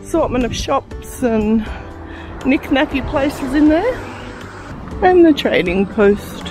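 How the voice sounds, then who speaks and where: A woman, narrating a casual travel vlog in a relaxed, chatty way while touring outdoors. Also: high-pitched, slow, over music. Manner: chatty, relaxed tour guiding. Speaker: travel vlogger. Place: outdoors touring a town and wildlife park.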